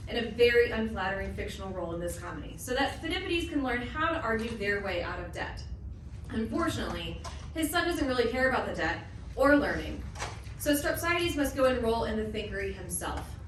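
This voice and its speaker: A woman presenter reads scripted narration for a live play reading. Her voice is somewhat high and distant from the mic, ringing in a very echoey room. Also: a bit bright, slight background noise. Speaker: presenter introducing a play and its cast.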